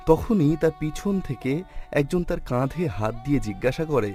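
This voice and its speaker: Expressive male cartoon story narrator. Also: a little high, normal speed, faint music behind.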